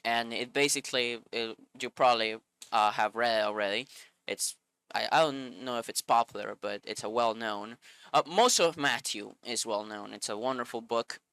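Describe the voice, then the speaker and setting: A sniffly man with a bright, crisp voice reads scripture and then shares his thoughts in an earnest, loose way, often stopping to find the right words. The sound is close and dry, with no room around it. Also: medium pitch, normal speed, no echo. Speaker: Bible video host. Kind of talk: scripture reading then casual reflection.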